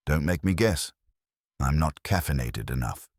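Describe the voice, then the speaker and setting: A man's voice: extremely low pitch, expressive, a little slow, no room sound, studio quality, exaggerated voice. A man voicing a wry, cool private investigator in a detective audiobook, wisecracking as he probes. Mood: wry, probing. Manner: wisecracking, probing.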